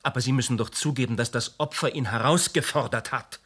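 An actor playing a defensive, curt witness under questioning in a radio drama. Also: male voice, medium pitch, brisk, a bit bright, husky. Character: defiant, evasive male witness. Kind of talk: German radio drama acting.

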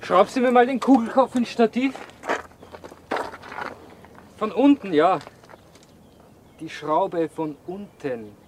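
A man's voice: high-pitched, slow, long pauses, a little mellow. A man playing a practical film crew hand in a naturalistic radio drama. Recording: faint outdoor background noise.

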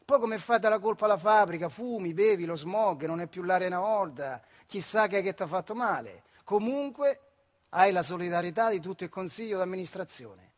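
An actor playing a bitter, weary retired factory worker in a theatrical monologue, with a central Italian accent from the Marche, swinging between muttering and outbursts. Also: male voice, sits high, animated, brisk. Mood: bitter, heated. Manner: colloquial, rhetorical questions, swings from muttering to outbursts. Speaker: stage actor. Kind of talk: theatrical monologue in dialect-tinged speech.

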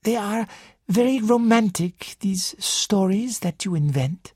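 A woman narrator voicing a courteous, self-assured male detective who speaks gently and thoughtfully in an audiobook. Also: mid-range voice, animated, takes it slowly, breathy edge, dry recording, studio quality, voice pushed up. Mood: gentle, probing. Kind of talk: audiobook character dialogue.

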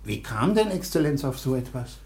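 An actor playing a stern, exasperated schoolmaster in a German radio drama, with a formal, pedantic manner. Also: male voice, medium pitch, very animated, moderate pace, a little mellow, close to an everyday voice. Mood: sceptical, dismissive.

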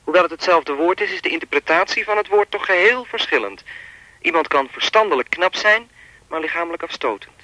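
An actor playing a sinister, persistent phone stalker in a Dutch radio play, talking in a pompous, wordy, coolly controlling way. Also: male voice, fairly high pitch, animated, fairly quick, pushed and bright, no echo, poor audio. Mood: smug, musing.

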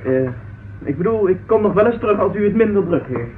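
An awkward, evasive lord, high in pitch. He stammers and apologises. The radio drama sound is tinny and somewhat noisy. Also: expressive, fast talker. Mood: flustered. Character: flustered, evasive, awkward male English lord. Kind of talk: radio play acting.

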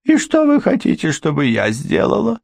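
A man voicing a frightened middle-aged housekeeper in a high, strained, tearful voice. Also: huge pitch swings, normal speed, creaky, a little mellow, no echo, heavily acted. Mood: pleading. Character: anxious middle-aged female housekeeper, shrill, pleading. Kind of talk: audiobook character voice.

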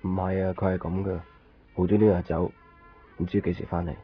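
Deep male voice, muffled radio, music bed, brooding lover, Hong Kong Cantonese drama. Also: level tone, brisk. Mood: brooding, resigned. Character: brooding young man abandoned by his girlfriend.

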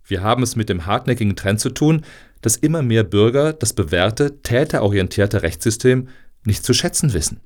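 Actor as a glib, lively-voiced male lobbyist. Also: lowish voice, normal speed, close to an everyday voice. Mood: smooth, official. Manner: glib, verbose, euphemistic official-speak. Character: smug male lobbyist for tolerating violent crime. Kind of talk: satirical radio play dialogue.

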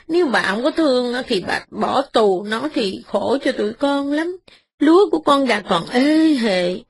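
A woman's voice: on the high side, breathy edge, voice pushed up, heavily acted. A woman voicing a poor, humble man who tearfully begs for mercy, bowing and deferential.

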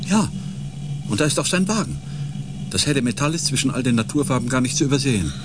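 A male actor plays a practical, concerned explorer in a science fiction radio drama. His mid-pitched voice moves widely up and down as he urges and reassures. Clear ambient noise surrounds him. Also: animated, fast, lightly acted. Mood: matter-of-fact. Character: steadying male space expedition partner, practical and concerned. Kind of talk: radio play acting, dialogue.

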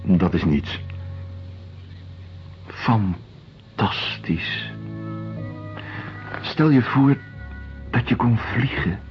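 A deep-voiced, wistful researcher in a radio drama. He speaks slowly and reflectively, weary and sceptical, with music behind him on a dull recording. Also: expressive. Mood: weary, dreamy. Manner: measured, pausing between short reflective phrases. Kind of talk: radio drama acting, lyrical and slow.

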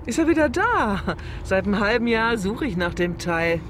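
An actress playing a quick-witted, self-assured journalist in a German radio crime comedy, with a snappy, cheeky manner. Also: female voice, fairly high pitch, big pitch swings. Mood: brisk. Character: brash, quick-witted female big-city journalist. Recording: noisy, car and city traffic sounds.

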